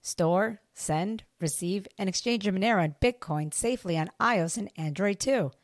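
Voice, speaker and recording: A woman's voice: mid-range voice, moderate pace, dry recording, clean studio sound. A woman co-hosting a podcast in a casual, conversational way. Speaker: podcast co-host. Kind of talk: casual co-host chat.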